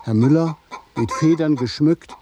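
A man narrating a scripted literary radio play in a deliberate, measured storytelling manner. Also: on the high side, background noise.

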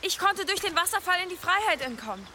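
A woman's voice: very high-pitched, animated, fast, bright, forceful tone, heavily acted. An actress in a German radio drama playing an earnest, practical young woman with a direct, sincere manner. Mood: earnest grief. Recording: clear ambient noise behind.

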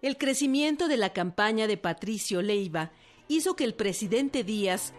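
A woman's voice: a little high, lively intonation, moderate pace, faint music behind. A woman with a Mexican accent giving scripted historical narration in a radio drama.

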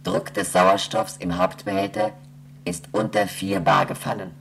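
A man playing a slow, emotionless space station computer in a radio play, reciting formal announcements in a deliberate monotone. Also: low voice, gravelly, slight background noise, full character voice. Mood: impassive, formal.

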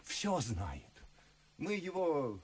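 An actor playing a boastful, good-natured, foolish gentleman in a radio play, gushing and confiding in theatrical verse with a husky voice. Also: a little high, wildly swooping pitch, extremely slow, a little hiss or hum, heavily acted. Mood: excited, admiring. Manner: gushing, exclamatory, conspiratorial, drawing words out.

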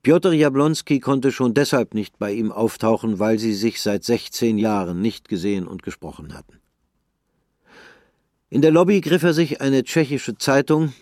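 A man reading the narration of a radio play in a calm, measured way. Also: mid-range voice, dry recording, clean studio sound. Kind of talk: scripted narration.

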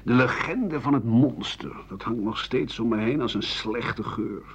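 A man playing a weary, embittered writer of 67 in a radio play, grumbling in halting phrases that trail into breathy murmurs. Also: mid-range voice. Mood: bitter. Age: older. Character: embittered, weary 67-year-old French writer and doctor, pessimistic. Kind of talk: radio play monologue as interview answers. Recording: dull, hissy 1980 radio recording.

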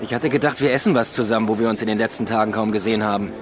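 An actor playing an evasive, grumbling police detective in a radio drama, casual and dismissive. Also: male voice, fairly low pitch, steady tone, very fast, crowd noise, lightly acted.

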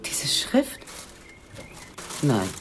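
An actress playing an anxious, evasive middle-aged mother in a radio crime drama, with a natural, nervy, placating manner. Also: extremely slow. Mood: evasive, tense. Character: anxious, evasive small-town mother and cat owner.

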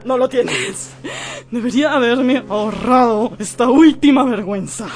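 Anguished proud wife in a radio drama, high voice, slow dramatic pleading, music behind. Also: female voice, heavily acted. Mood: bitter despair. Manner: theatrical, impassioned, pleading. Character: desperate, proud, tragic married woman in anguish. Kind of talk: Spanish-language radio drama acting.

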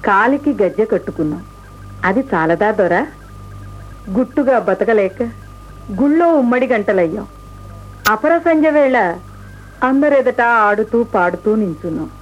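A bantering, coaxing dancing girl, the bold elder sister in a radio drama. Her pitch is high and arch, on a dull old recording with faint music. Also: lively intonation, a little slow, pauses often. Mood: arch, mock-humble. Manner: arch, coaxing, mock-humble. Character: bantering, wheedling female court dancer, bold elder sister. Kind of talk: theatrical radio play acting.

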